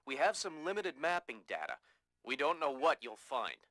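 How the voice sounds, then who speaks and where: Mission briefer, crisp bright tone, clipped, businesslike, dry sound, video game. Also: male voice, fairly high pitch, sing-song, moderate pace, no echo. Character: businesslike male mission planner briefing an agent.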